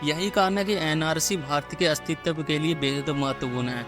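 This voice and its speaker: Male voice-over narrator, very fast, fairly high voice, urgent direct address, music underneath. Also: steady tone. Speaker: narrator of a video channel.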